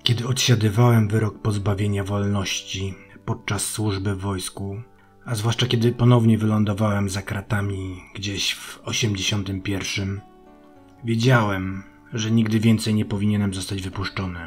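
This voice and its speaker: A man voicing a remorseful middle-aged prisoner in a measured, confessional reading of his diary. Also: lowish voice, level tone, lightly acted. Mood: somber confession. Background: guitar music.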